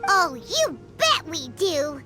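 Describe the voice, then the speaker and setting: Excitable childlike game fairy, squeaky and drawn-out, hugely animated delivery. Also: female voice, over music, exaggerated voice. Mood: indignant, eager. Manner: exclamatory, refers to self in third person. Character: tiny excitable childlike floating fairy companion, female. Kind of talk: English video game voice acting.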